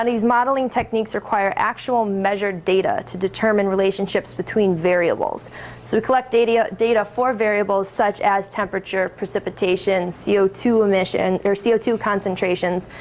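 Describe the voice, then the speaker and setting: A woman's voice: medium pitch, slight background noise. Woman giving a public talk. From Minnesota. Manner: friendly, self-correcting, explanatory. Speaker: presenter.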